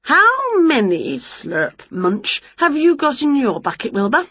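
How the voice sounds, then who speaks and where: A woman voicing an excitable, greedy, scatty woman from a children's storybook, gushing and exclaiming. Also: sits high, takes it slowly, dry recording, lo-fi sound, pitched above the performer's own voice, full character voice. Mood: gleeful, chatty.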